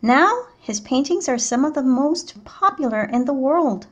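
High-pitched female teacher, narrating a picture book expressively for children. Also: lively intonation, measured pace, highs cut off. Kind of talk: children's read-aloud narration.

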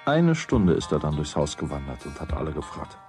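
A man's voice: rumbling low, sing-song, brisk, a little mellow, faint music behind. A man playing a wry, conversational storyteller, narrating a children's radio play in a musing manner.

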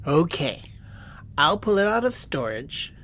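Comic shop manager: deep, lively inflection, friendly, protective, audio drama. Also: female voice, a little slow, breathy edge, full character voice. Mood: warm. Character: easygoing, protective comic book store manager.

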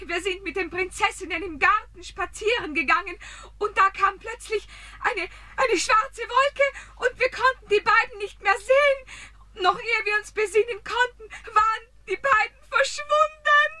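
A woman's voice: very high voice, measured pace, pushed and bright, exaggerated voice. An actress playing a royal nursemaid who is crying as she pleads with the king. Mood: tearful panic. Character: distraught royal nursemaid, panicked servant.

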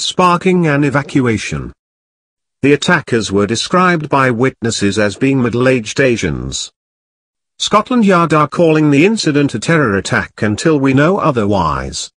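A man reads news headlines in a steady, even voice-over. His pitch sits in the middle range, and the sound is dry and close with no room around it. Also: dry recording. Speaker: news reader. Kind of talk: scripted news narration.

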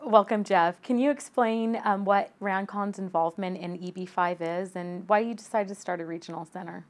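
A woman in her thirties hosting an interview, asking polite, brisk questions in an office. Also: medium pitch, fairly even intonation. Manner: polite, scripted intro then brisk questions. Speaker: host. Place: office, indoors. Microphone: lapel mic.

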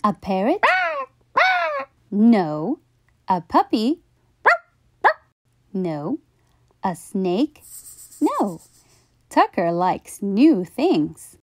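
Woman doing kids read aloud, soft mellow tone, takes her time, big swoopy emphasis. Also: medium pitch, dry recording, clean studio sound. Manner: animated, deliberate, emphatic phrasing. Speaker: storyteller. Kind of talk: expressive children's read-aloud narration.

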